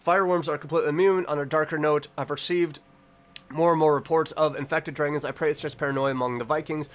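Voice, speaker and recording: A man's voice: on the high side, steady tone, fast talker. A man hosting a reaction video, reading text aloud and commenting casually, sometimes stumbling over words and correcting himself. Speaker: online reaction video host.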